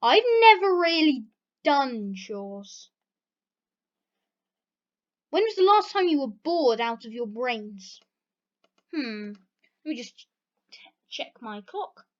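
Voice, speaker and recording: A woman's voice: high-pitched, animated, slow, pauses often. A British teenage girl chatting casually through a question-and-answer vlog, rambling with plenty of fillers. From Britain. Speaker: channel host. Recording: dull lo-fi recording.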